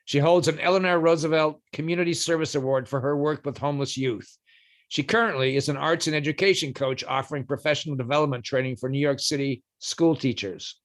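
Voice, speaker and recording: A man hosting a podcast, giving a playful, measured introduction of his guest. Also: on the high side. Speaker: podcast host.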